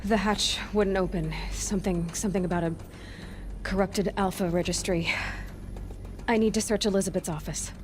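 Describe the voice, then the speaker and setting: A woman playing a determined young heroine in an adventure game, thinking aloud in a thoughtful, halting way. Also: mid-range voice, fairly even intonation, close to an everyday voice. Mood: pondering. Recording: music playing behind the voice.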